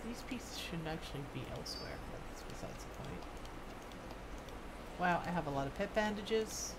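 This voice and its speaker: A woman's voice: lowish voice, animated, moderate pace, very noisy. A woman doing casual live gameplay commentary, musing half to herself. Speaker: game streamer.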